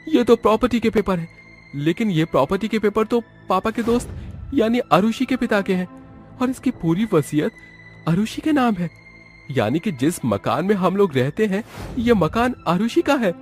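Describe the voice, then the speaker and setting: Guy doing earnest indignant young son for a cartoon, high and very animated, emphatic. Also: moderate pace, faint music behind. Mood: astonished. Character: earnest young man, indignant son defending a mistreated girl. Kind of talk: dramatic Hindi cartoon dubbing.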